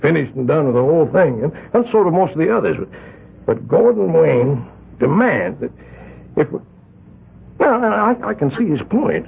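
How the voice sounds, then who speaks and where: Gravelly, hesitant island patriarch. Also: male voice, fairly high pitch, very animated, moderate pace, long pauses, full character voice. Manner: hesitant, rambling, stammers through excuses. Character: wealthy older island patriarch, evasive and fretful. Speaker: island community leader. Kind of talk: 1970s radio drama acting. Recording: tinny lo-fi 1970s radio broadcast.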